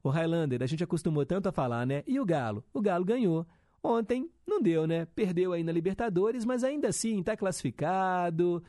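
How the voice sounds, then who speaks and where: A man's voice: high voice, expressive, fairly quick, warm tone, no echo, clean studio sound. A young male radio host, chatting casually and reading listener messages with upbeat teasing asides.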